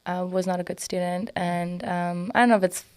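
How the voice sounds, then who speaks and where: A woman's voice: fairly low pitch, fairly even intonation, fairly quick, a little mellow. A young woman from Germany, a native German speaker with a German accent, chatting earnestly and upbeat as a gym co-founder guest, with frequent laughs and restarts. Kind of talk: casual interview chat.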